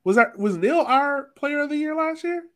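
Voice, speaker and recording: A man's voice: very high voice, expressive, moderate pace, fairly bright tone. A man chatting casually as a sports podcast co-host, informal and slangy in the way he talks. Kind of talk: casual chat.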